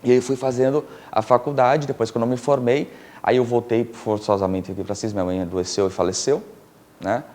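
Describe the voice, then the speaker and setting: A middle-aged man from Brazil, a filmmaker and producer telling stories as a TV interview guest, animated and laughing often, with many fillers and restarts. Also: mid-range voice, fairly quick. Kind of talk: casual storytelling in a TV interview.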